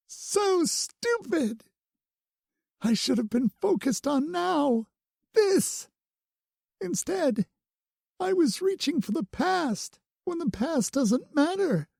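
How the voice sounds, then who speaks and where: An audiobook narrator voicing a young woman who is crying, anguished and shrill. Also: animated, measured pace, vocal fry, warm tone, slightly rough, dry recording, studio quality, heavily acted. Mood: distraught. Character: young human woman, tearful, fierce.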